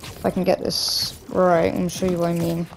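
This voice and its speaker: A man with a hoarse, croaky voice from a cough, casually rambling through gameplay commentary as a gaming YouTuber. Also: sits high, completely flat delivery, moderate pace, faint music behind. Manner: rambling, loose, with many restarts and "like".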